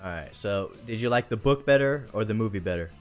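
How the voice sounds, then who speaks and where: A man's voice: on the low side, animated, a little fast, soft background music. A man hosting a casual live stream, talking in an informal, slangy and playful way. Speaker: host.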